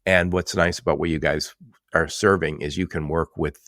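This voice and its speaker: A man's voice: a bass voice, steady tone, normal speed, a bit bright, dry recording, clean studio sound. A man hosting a business podcast, chatting and asking short follow-up questions in a row. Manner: conversational, generalizing, asks short stacked questions. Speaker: podcast host.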